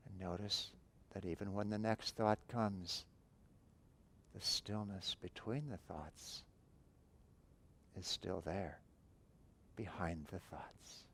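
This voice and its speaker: A man guiding a breathing meditation, giving calm, unhurried instructions with long pauses between phrases. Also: low-pitched, a little hiss or hum. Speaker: meditation teacher.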